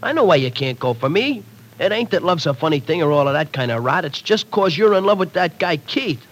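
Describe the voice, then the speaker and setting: A man's voice: a little high, very animated, brisk, fairly bright tone. An actor playing a brash, streetwise young man who talks in slangy tough-guy wisecracks, in 1940s radio acting style. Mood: bitter.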